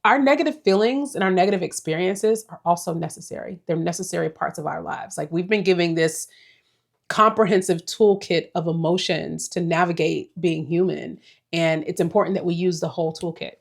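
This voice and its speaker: A woman in her forties talks straight to her viewers in a relaxed life-update vlog. Her voice sits on the lower side, and she rambles at an easy, reflective pace. Small laughs slip in now and then. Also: moderate pace, vocal fry. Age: 40s. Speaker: vlogger.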